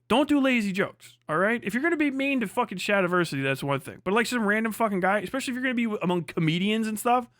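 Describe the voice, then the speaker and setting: A man's voice: sits high, fairly bright tone, dry recording, clean studio sound. A man giving casual, comedic livestream commentary, profane and emphatic, often repeating phrases for emphasis. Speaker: livestream host.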